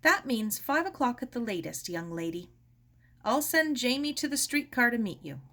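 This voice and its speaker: A strict aunt from a children's storybook, acted out by a woman reader. She is worried and insistent, her firm voice raised in pitch with wide swings. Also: big pitch swings, normal speed, sharp tone, heavily acted. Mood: stern, worried. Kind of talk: children's storybook read aloud.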